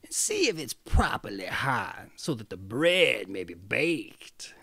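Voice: mid-range voice, big pitch swings, slow, vocal fry, bright, forceful tone, slightly rough, dry recording, clean studio sound, voice pushed down, heavily acted. A reader voicing a cruel, greedy old female witch in a read-aloud fairy tale, with a menacing villain's manner.

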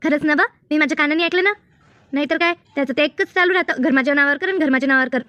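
A woman's voice: sits high, rapid-fire, sharp tone. A woman voicing an obliging, talkative village friend in a comedy cartoon, chatty and assured, with a Maharashtrian accent. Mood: gossipy. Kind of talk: Marathi comedy cartoon dialogue.

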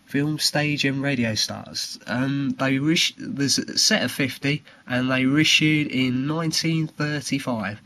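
A man informally presenting collectible cards for sale in a homemade video, speaking haltingly. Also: medium pitch, bright, forceful tone. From United Kingdom. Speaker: trading card seller presenting his video. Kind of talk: informal product showcase.

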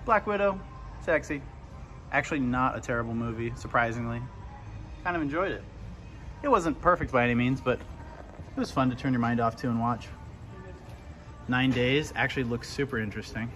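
A man's voice: mid-range voice, normal speed, long pauses, fairly bright tone. A man, vlogging casually while walking through a mall and making blunt, sarcastic remarks. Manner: sarcastic, blunt, laid-back quips. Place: indoor shopping mall. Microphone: handheld camera at arm's length. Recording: noisy mall ambience.

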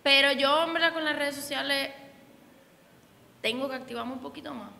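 A woman's voice: high-pitched, moderate pace, vocal fry, bright, forceful tone. A young woman singer from the Dominican Republic with a Dominican accent, chatting casually in an interview, full of fillers and restarts. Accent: Dominican Spanish. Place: indoor event room with reverb. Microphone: handheld mic held by interviewer. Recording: echoey room with faint noise.

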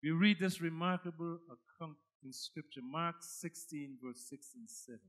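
A man's voice: a little high, normal speed, fairly bright tone. A man with a Guyanese accent preaching a sermon as a pastor, emphatic and dramatic, repeating phrases for effect.

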